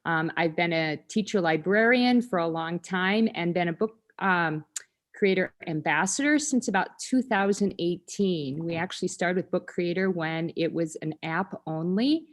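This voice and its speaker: Bubbly low-voiced woman librarian. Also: normal speed. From Iowa, USA. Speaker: teacher librarian. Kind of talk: panel self-introduction.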